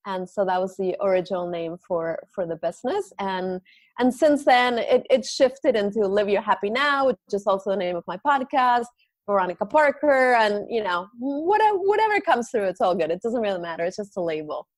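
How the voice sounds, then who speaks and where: A woman from Argentina talks as a podcast guest about her yoga and meditation work. She speaks in a lively, spiritual way, with frequent restarts. Her voice is recorded dry and close, with no room sound. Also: medium pitch, normal speed, no room sound. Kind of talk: casual interview talk.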